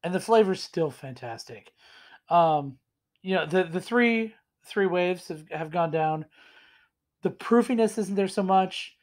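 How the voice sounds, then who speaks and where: A man in his thirties with a cold, hoarse and rambling as he tastes and reviews whiskey in a casual home vlog. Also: high voice, expressive, unhurried. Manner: rambling, self-deprecating, enthusiastic. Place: small room indoors at home.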